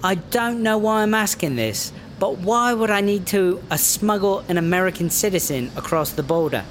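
A man plays a wary British rapper with a sly streak in a comedic audio drama. The voice sits a little high for a man. Faint ambience runs behind it. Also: expressive, normal speed, a bit bright, a little hiss or hum.

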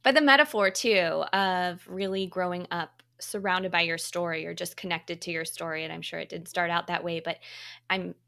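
A woman's voice: fairly low pitch, steady tone, normal speed, creaky, pushed and bright. A woman hosting a podcast, effusive and encouraging as she introduces and interviews in an upbeat style. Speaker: podcast host.

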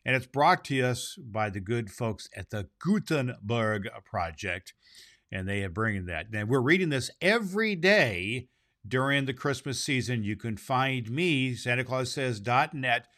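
A man's voice: mid-range voice, animated, measured pace, a bit bright. Jolly old Santa Claus, acted by a man, children's show host, jovial, emphatic, boastful, conversational, theatrical repetition. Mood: friendly, explaining. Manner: jovial, emphatic, repeats words for effect.

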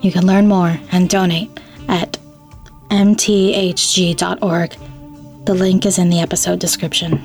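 Podcast host lady reading scripted credits, pretty flat delivery, soft music under it. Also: fairly low pitch, a little slow. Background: faint music.

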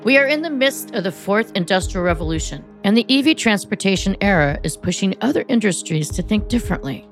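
A woman hosting a podcast, reading a scripted introduction in a measured, announcer-like way and interviewing a guest. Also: mid-range voice, lively intonation, faint music behind. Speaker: podcast host.

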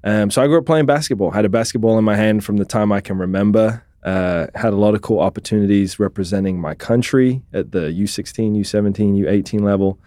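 A British man in his thirties, raised in the UK, telling his life story as an interview guest in a relaxed, reflective way. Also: fairly low pitch, fast, few pauses, no echo, studio quality. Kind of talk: conversational interview.

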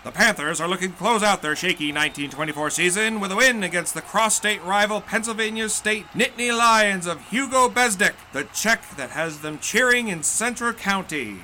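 An actor playing an excitable 1920s radio football announcer with florid, exclamatory old-time patter. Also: male voice, sits high, slight background noise, heavily acted. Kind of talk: 1920s radio sports play-by-play.

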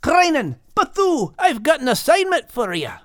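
A man's voice: very high-pitched, huge pitch swings, moderate pace, no echo, studio quality, pitched above the performer's own voice, full character voice. A man voicing a brave but self-doubting male dog with a Scottish accent for an audiobook. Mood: eager.

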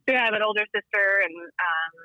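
A woman's voice: medium pitch, quick pace, bright, forceful tone, no room sound, dull audio. A woman from Texas, a guest calling in to a podcast interview, chatting casually with many fillers and frequent laughs. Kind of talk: casual interview over a call. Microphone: phone or call line.

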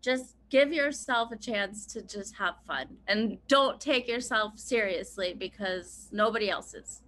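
A woman's voice: medium pitch, measured pace, a bit bright. A woman in her thirties from Pennsylvania, a podcast guest talking casually with many "like" fillers and easy laughter. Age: 30s. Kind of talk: casual conversation.

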